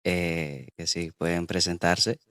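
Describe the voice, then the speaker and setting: A man with a very low, smooth, resonant voice hosts a church podcast. He reads scripture and talks hesitantly, filling gaps with long pauses. The sound is close and dry. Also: flat delivery, fairly bright tone, dry recording. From Guatemala. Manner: hesitant, many drawn-out filler pauses. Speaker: podcast host. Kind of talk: church podcast hosting, reading scripture aloud.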